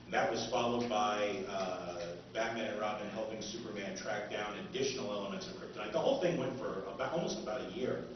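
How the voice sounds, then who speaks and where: A man giving an informal seminar talk as a speaker, halting and pausing often. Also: fairly low pitch, far from the mic, a crowd in the background. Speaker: seminar speaker, old-time radio author. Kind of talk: informal public lecture. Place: indoor convention seminar room. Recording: muffled, noisy, echoey room from afar.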